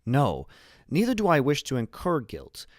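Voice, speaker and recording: A man voicing a learned, principled old imprisoned abbé in a deliberate, formal, lecturing manner for an audiobook. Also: medium pitch, wildly swooping pitch, no echo, clean studio sound, pitched above the performer's own voice. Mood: earnest, lecturing. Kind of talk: audiobook character reading.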